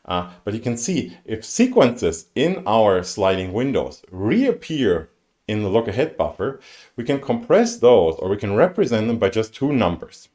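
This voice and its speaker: German-accented male lecturer, very animated pitch. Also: moderate pace. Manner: informal, thinking aloud with asides. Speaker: university lecturer. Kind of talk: explanatory lecture to students. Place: indoors, live-streamed lecture over slides.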